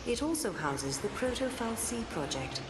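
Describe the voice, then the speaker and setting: An actress playing a formal, impersonal information announcer, reading measured narration in a game. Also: female voice, fairly high pitch, very animated. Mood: impersonal, informative. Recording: echoing voice, loud background noise.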